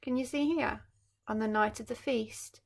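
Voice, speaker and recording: A woman's voice: a little high, normal speed, vocal fry. A woman primary school teacher reading a story expressively, with explanatory asides for young children.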